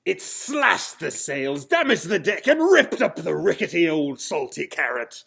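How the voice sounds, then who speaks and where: A man reading a children's storybook aloud in a theatrical, expressive style. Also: on the high side, a little slow, creaky, husky. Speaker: storybook reader. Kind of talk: dramatic children's storybook narration.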